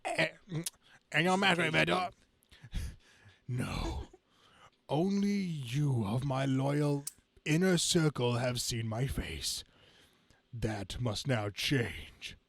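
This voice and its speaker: A gloating supervillain, played by a man, delivers a grandiose comic-book monologue. The delivery is slow and halting, with a gravelly creak, recorded close and dry. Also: mid-range voice, lively intonation, no echo, heavily acted. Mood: menacing, gloating. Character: gloating male comic-book supervillain scheming against humanity. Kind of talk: dramatic comic reading.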